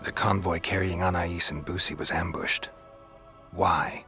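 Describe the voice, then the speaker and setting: A man's voice: low voice, steady tone, unhurried, pushed and bright, faint music behind. A man playing a stoic, world-weary mercenary monster hunter in a fantasy video game, speaking in a terse, dry, understated way. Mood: pressing. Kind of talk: dramatic fantasy game acting.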